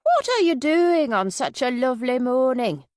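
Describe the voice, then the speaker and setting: Woman voicing a chirpy, high-pitched Yorkshire woman. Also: sing-song, normal speed, darkish tone, no echo, clean studio sound. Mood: affectionate, chirpy. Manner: chirpy, effusive, uses endearments. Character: cheery, affectionate Yorkshire woman friend on the phone.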